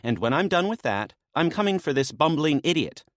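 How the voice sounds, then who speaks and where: A man voicing a sharp-tongued, bossy female maenad with a dry, mocking manner. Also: on the high side, very animated, fairly quick, no echo, pitched above the performer's own voice. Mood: sarcastic.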